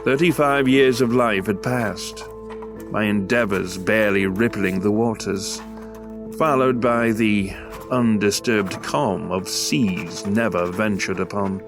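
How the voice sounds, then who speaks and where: A man's voice: on the low side, slow. An actor playing a melancholy failed writer in his thirties, reciting his diary in formal, florid audio drama style. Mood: bitter, resigned. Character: melancholy, self-deprecating failed male writer of thirty-five. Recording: music under the voice. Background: music.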